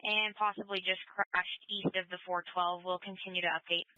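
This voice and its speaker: A woman gives a police dispatch update in a flat, sharp voice. The radio audio is dull and lo-fi. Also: on the low side, moderate pace, dry recording.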